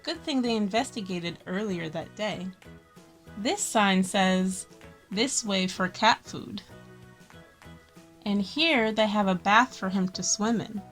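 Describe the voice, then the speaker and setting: Expressive woman reading a picture book. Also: medium pitch, soft background music. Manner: expressive, slows and stresses key words. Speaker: children's story-time host and reader. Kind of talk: picture book read-aloud with friendly asides.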